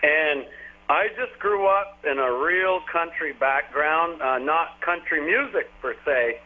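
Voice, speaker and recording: A man's voice: on the high side, slow pace. A man in his forties from Vermont, a country singer telling stories in a casual, rambling way with lots of fillers. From Vermont, USA. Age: 40s. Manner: rambling, folksy storytelling with frequent fillers. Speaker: country singer, interview guest. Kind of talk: casual phone interview. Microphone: telephone. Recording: tinny phone line.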